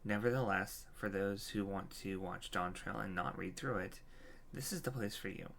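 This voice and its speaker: A man's voice: low voice, moderate pace, vocal fry, a bit bright, a little hiss or hum. A man talking casually as he presents his video game playthrough. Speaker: let's-play creator.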